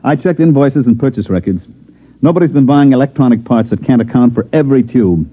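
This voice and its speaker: A man's voice: medium pitch, lively intonation, a little fast, phone-quality sound, close to an everyday voice. An American man playing a wry, hard-boiled government investigator, acting and narrating in a sardonic 1950s radio drama style. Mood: dry, casual. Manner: wisecracking, sardonic delivery. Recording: thin, band-limited old radio recording with music.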